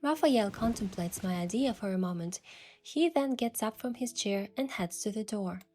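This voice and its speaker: Woman as mellow, soft-spoken young princess narrator. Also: medium pitch, expressive, moderate pace, soft background music, lightly acted. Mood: soft, reflective. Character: curious young woman posing as an indulgent princess. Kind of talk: scripted first-person story narration with acted dialogue.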